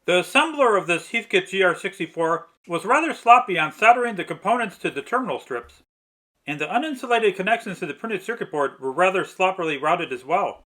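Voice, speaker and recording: A man's voice: sits high, animated, brisk, fairly bright tone, rough recording. A man reading a scripted step-by-step restoration narration in precise, methodical phrasing. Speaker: narrator of a radio restoration video.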